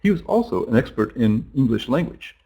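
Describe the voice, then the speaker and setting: A man's voice: medium pitch, big pitch swings, brisk, gravelly, mellow, slightly rough. A middle-aged man, a teacher being interviewed, explaining in a loose conversational way with frequent fillers and restarts. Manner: rambling, thinking aloud with many restarts and fillers.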